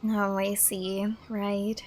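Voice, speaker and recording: A young woman doing casual, chatty reaction commentary as she plays a game, very expressive. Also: mid-range voice, very slow. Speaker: gaming streamer. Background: soft game music.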